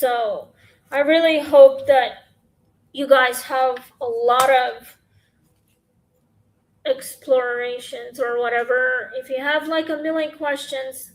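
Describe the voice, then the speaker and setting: Woman w a high scratchy voice, slow rambly chat into her phone. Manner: rambling, halting, many fillers. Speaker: podcast host. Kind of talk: casual Q&A chat.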